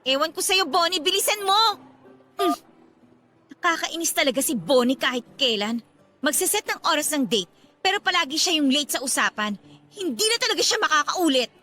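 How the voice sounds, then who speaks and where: An actress as a young woman in a radio romance drama, with a very high, sharp voice. She is guarded and easily irritated, speaking with heavy feeling over background music. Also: expressive, brisk. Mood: irritated, nagging. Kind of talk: Tagalog radio drama acting.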